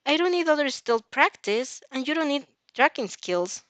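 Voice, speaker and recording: Woman doing a super bubbly gushy teen girl, high and chirpy, sweet fan audio story, dry sound. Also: very animated, moderate pace, fairly bright tone, dry recording. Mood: earnest, excited. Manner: gushing, chirpy, exclaiming. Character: bubbly, excitable, affectionate invisible teenage girl.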